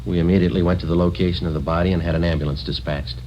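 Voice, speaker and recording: An actor playing a stoic, no-nonsense police sergeant in a deadpan, formal manner in a 1950s radio drama. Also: male voice, sits low, very fast, close to an everyday voice. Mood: flat, formal. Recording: muffled, noisy old radio recording.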